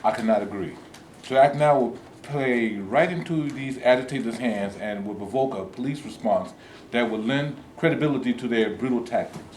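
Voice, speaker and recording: A man's voice: mid-range voice, normal speed, darkish tone, natural voice. Man playing a cautious movement leader, echoey room. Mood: firm, earnest. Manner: formal, earnest, oratorical. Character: cautious male civil rights leader, principled, measured. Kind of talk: staged play reading. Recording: echoey room with background noise.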